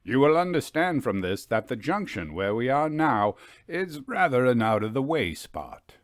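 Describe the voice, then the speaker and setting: A man voicing a genial gentleman in an old story, with keen, confiding, old-fashioned speech. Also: medium pitch, sing-song, moderate pace, dry recording, clean studio sound, natural voice. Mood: grave, explanatory.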